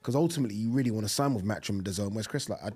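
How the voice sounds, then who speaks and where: Male podcast host, 30s, slightly husky, animated studio talk. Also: lowish voice, racing. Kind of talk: casual boxing chat. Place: studio set with dark curtains. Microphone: desk mic on boom arm.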